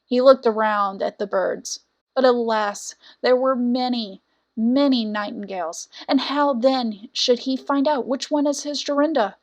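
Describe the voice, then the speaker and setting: A woman reading a scripted fairy tale as a storyteller, with dramatic, deliberate phrasing. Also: on the high side. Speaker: storyteller, audiobook narrator.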